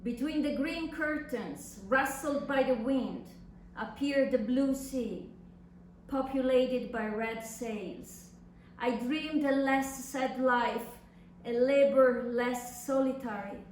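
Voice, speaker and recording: A woman's voice: high-pitched, takes it slowly, a little mellow. A woman reading love letters as a languid, ardent male poet, lyrical and dreamy. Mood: dreamy ardour. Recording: echoey room from far off, faint noise.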